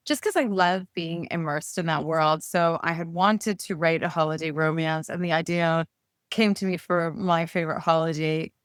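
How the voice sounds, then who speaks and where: Smooth, lowish-voiced woman, upbeat storyteller. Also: a bit bright, no echo, studio quality. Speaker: romance author being interviewed. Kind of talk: conversational book pitch.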